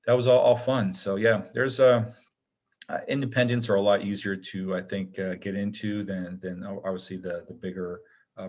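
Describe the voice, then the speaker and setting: A man with a fairly low voice chats casually as an interview guest. He rambles modestly and hesitantly, and the recording is somewhat dull and lo-fi. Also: a little mellow. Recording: dull, lo-fi connection.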